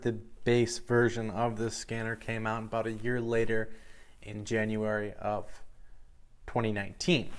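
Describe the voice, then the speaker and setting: A man's voice: lowish voice, clear, resonant tone. A man giving an informal product review in a matter-of-fact, explanatory way.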